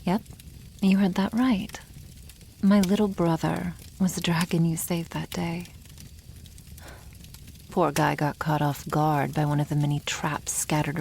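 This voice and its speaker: A woman plays a proud, teasing dragon girl in an intimate ASMR roleplay. Her soft, half-whispered voice moves very slowly and crackles with vocal fry. She sounds wry and a little condescending toward humans, over faint background ambience. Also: low-pitched. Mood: measured, reflective. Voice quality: soft, breathy, often near whisper. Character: proud, teasing young female dragon, protective elder sister. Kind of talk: ASMR roleplay, addressing the listener.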